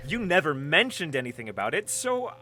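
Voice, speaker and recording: An actress playing a smug, needling team leader and unbothered sibling in an audio drama. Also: female voice, low voice, sing-song, fairly quick, bright, forceful tone, soft background music, heavily acted. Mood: defensive.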